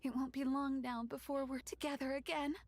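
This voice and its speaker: Woman playing a sweet, high-voiced young maiden. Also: level tone, fairly quick, lightly acted. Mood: happy, hopeful. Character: sweet devout young woman waiting for her beloved. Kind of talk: animated video game acting.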